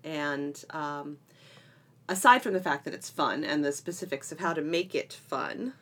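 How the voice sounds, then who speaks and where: A woman's voice: deep voice, measured pace, fairly bright tone. A middle-aged woman co-hosting a podcast in a casual, chatty style with a playful, bantering manner. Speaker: podcast co-host.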